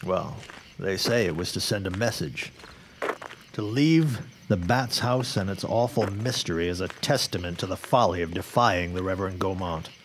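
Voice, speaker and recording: A man's voice: sits low, moderate pace, a little hiss or hum, lightly acted. An actor playing a wary, grave 1910s newsman in a radio drama, speaking in formal, old-fashioned phrases. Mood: grave, foreboding. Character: grim, wary 1910s newsman and worried father. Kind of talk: period radio drama acting.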